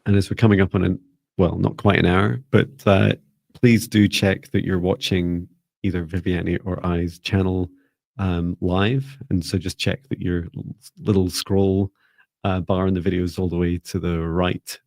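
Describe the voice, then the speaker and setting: A man's voice: sits low, flat delivery, normal speed, gravelly, darkish tone, no echo. A middle-aged man hosting a live stream in casual, jokey, chatty conversation.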